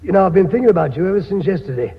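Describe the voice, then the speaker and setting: An actor playing a doubting, excitable British company colleague in a radio drama, arguing insistently. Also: male voice, sits high, racing, breathy edge, heavily acted. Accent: British English. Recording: muffled, reverberant radio recording.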